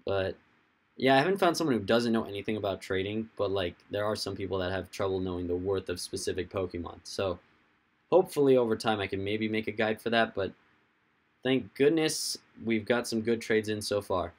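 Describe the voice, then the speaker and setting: A young man with a hoarse, fading voice, hosting a casual livestream, rambling and answering viewers' chat comments as they come in. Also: lowish voice, fairly quick. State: hoarse, losing his voice. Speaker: livestream host.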